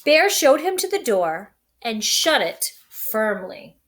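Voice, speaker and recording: Slow, very animated woman reading a storybook. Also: fairly high pitch. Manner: addresses young listeners, dramatic word emphasis. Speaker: storybook reader for children. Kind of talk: expressive children's read-aloud.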